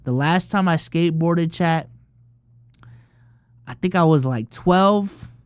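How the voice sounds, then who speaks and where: A young man in his early twenties, originally from the Philippines, rambles through viewer questions on his stream. His voice sits a little high for a man, and he keeps dropping casual swears and tags like "bro" and "man". The sound is close and dry, with no room around it. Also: slow pace, clear, resonant tone, dry recording. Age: early 20s. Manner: loose and profane, full of fillers and "bro" and "man". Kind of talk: casual livestream chat answering viewer questions.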